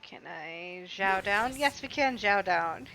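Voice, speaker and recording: A woman streaming a game, casually commenting and reading text aloud in a relaxed, murmuring way. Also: mid-range voice, slow pace, faint music behind.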